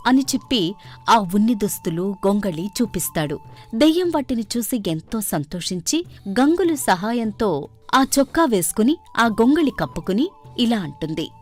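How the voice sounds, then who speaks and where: A woman's voice: medium pitch, sing-song, moderate pace, soft background music. A woman storyteller reading scripted narration for a children's moral story.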